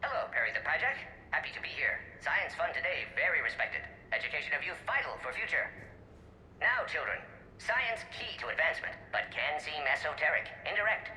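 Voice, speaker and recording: A brisk male alien scientist from a video game, with a very sharp, forceful tone and lively swings in pitch. He drops small words and talks like an eccentric lecturer. The recording is echoey. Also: exaggerated voice. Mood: eager, instructive. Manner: clipped telegraphic sentences, didactic. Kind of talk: video game character performance. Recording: echoey recorded message with faint noise.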